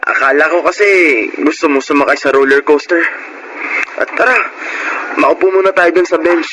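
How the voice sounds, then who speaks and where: A man's voice: a little high, normal speed, close to an everyday voice. A man playing a sweet, attentive young boyfriend in a Filipino radio drama. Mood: tender. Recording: loud background noise.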